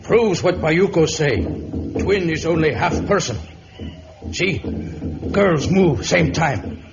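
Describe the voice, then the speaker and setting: A noisy, muffled vintage radio drama recording carries a stern jungle chief. He speaks slowly in clipped, broken English, superstitious and solemn, with a slightly raised pitch. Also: expressive. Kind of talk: old radio serial acting.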